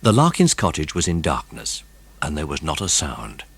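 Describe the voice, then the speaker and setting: A man narrating a children's mystery audiobook in a suspenseful, expressive way. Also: extremely low pitch, normal speed, creaky, breathy edge, no echo.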